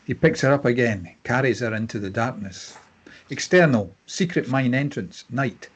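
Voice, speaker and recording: Slightly husky male narrator. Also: mid-range voice, expressive, moderate pace. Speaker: stage-direction narrator. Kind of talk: scripted narration at a table read.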